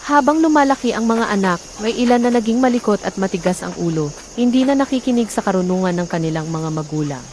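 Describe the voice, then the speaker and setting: A woman's voice: mid-range voice, lively intonation, fast talker, a little mellow, a little hiss or hum. A woman storyteller reading a scripted folktale narration.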